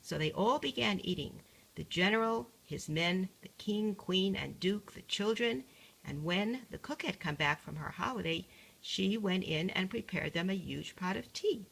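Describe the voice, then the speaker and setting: An older woman reading a rhyming picture book aloud like a grandmother, measured and singsong. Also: medium pitch, lively intonation, gravelly, a bit bright, husky, poor audio.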